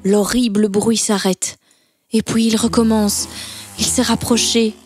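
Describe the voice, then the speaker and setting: A woman's voice: a little high, level tone, measured pace. A woman narrating a scripted children's story as a storyteller. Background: soft background music.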